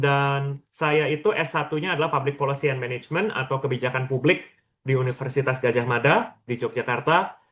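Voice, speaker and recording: A man's voice: medium pitch, a bit bright. A man, presenting informally to an online audience and often checking in with listeners. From Lombok, Indonesia. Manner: conversational, checks in with listeners using questions and tags. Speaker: webinar speaker, education practitioner.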